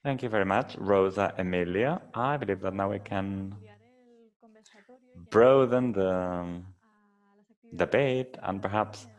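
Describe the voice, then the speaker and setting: A man with a Colombian accent who moderates a panel discussion and interprets the speakers into English, in a measured, formal way. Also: deep voice, highs cut off.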